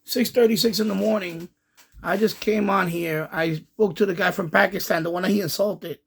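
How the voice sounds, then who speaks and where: Male hobby-radio host, high and husky voice, casual explanatory commentary that circles back and repeats itself. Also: fairly even intonation, normal speed, fairly bright tone. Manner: rambling, repeats himself, explanatory. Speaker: amateur radio video host. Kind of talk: casual running commentary over radio chatter.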